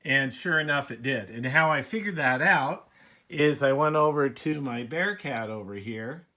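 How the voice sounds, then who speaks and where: A man's voice: medium pitch, moderate pace, sharp tone. Casual man showing off hobby gear. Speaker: radio hobbyist, video presenter. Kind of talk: casual demonstration talk.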